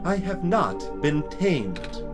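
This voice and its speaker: A man voicing a stiff, formal robot guard in a video game, speaking in measured, proper sentences. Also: on the high side, sing-song, over music, heavily acted. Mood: solemn, dutiful. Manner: formal, measured, uncontracted speech.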